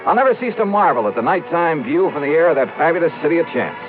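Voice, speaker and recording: An American actor playing a wry, brisk male insurance investigator in a 1950s radio detective drama, talking in casual patter. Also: fairly high pitch, sing-song, narrow, tinny audio. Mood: wry, breezy. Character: breezy, wry male insurance investigator narrating his case. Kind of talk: 1950s radio detective drama acting, first-person narration. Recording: thin band-limited old radio, music behind.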